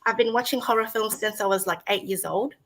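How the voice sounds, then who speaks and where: A woman's voice: medium pitch, brisk, low-quality recording. Woman in her 30s, screenwriter, chatty, laughs a lot, acts out quotes, video call interview. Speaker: screenwriter guest. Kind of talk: casual interview chat.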